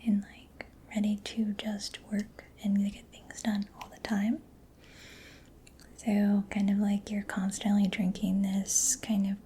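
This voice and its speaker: A woman doing a soft-spoken ASMR roleplay as a mechanic, in a breathy whisper with a gentle, hesitant, reassuring manner. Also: mid-range voice, a little hiss or hum.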